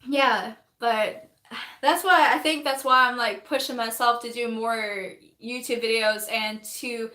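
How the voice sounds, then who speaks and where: A young woman, chatting on a phone livestream while doing her makeup, rambling fast, laughing often and losing her voice. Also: on the high side, fairly even intonation, sharp tone, reverberant. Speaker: livestreaming vlogger.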